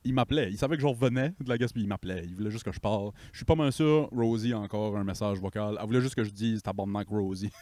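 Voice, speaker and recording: A man's voice: lowish voice, quick pace, few pauses, warm tone, a little hiss or hum. A man with a Quebec French accent, co-hosting a podcast in casual chat, agreeable and laughing often. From Richmond, Quebec. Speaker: podcast co-host.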